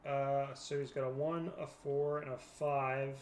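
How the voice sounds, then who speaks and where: A woman's voice: a bass voice, little pitch movement, measured pace, darkish tone. A woman talking casually as a player in a tabletop roleplaying game. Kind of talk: casual chat. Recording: faint background noise.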